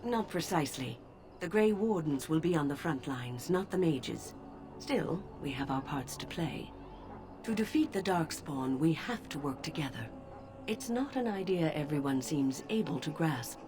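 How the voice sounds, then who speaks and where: A woman voicing a calm, wise older female mage in a video game, speaking in a measured, formal way. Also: deep voice, music in the background. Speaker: game character.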